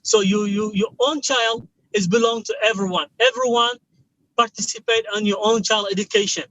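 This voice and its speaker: A man from Mali, a guest telling stories with animated enthusiasm over a video call. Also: high voice, normal speed, no echo, highs cut off. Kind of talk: conversational interview.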